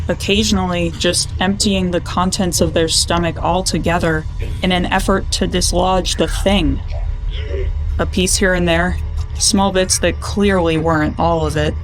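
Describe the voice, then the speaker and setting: A woman narrating a creeping horror story in a measured, deliberate storytelling manner. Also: lowish voice, close to an everyday voice, low-quality recording. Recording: loud music under the voice.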